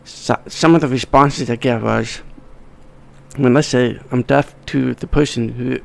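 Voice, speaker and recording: A middle-aged man talking as a podcast guest in casual conversation, blunt, swearing freely, with halting restarts. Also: medium pitch, fairly even intonation, vocal fry. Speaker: podcast guest, wedding videographer.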